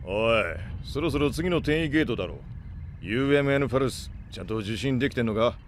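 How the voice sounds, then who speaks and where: An actor playing a gruff, grumbling freighter captain in a Japanese audio drama, with rough, slangy, irritable delivery. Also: male voice, mid-range voice, animated, brisk, noisy background, close to an everyday voice. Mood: irritated.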